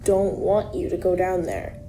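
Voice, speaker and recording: An actress as a fearful, protective young woman in an audio drama. Her voice is mellow and subdued, and she talks slowly and hesitantly, as if guarding what she says. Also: lowish voice, fairly even intonation, a little hiss or hum. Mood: anxious, protective. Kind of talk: naturalistic fiction podcast acting.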